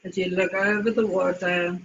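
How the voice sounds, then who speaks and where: A woman from South Africa leading a guided meditation, speaking in deliberate phrases with long pauses. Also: lowish voice, little pitch movement, dull audio, slight background noise.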